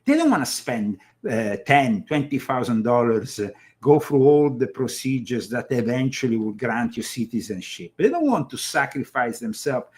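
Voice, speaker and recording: A man with an Italian accent hosts a political talk show, his voice sitting at a middling pitch. He talks emphatically, yet keeps stalling on fillers and starting phrases over. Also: very animated, darkish tone. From Italy. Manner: emphatic, halting with many fillers and restarts. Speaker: show host.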